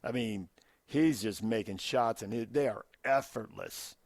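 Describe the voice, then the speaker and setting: A man's voice: mid-range voice, a little slow, no room sound. American man debating sports, dry close sound. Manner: opinionated, argues point by point. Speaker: sports radio co-host.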